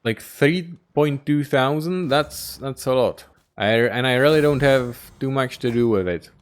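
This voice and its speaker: A man's voice: medium pitch, unhurried, smooth. A man giving a running commentary on his strategy game playthrough, swearing often and venting his frustration as he plays. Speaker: gaming YouTuber.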